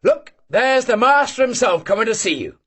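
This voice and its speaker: A man voicing a loud, boisterous old male Cossack hunter who shouts and teases. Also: very animated, normal speed, slightly rough, exaggerated voice. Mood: bantering. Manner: booming, teasing, shouting.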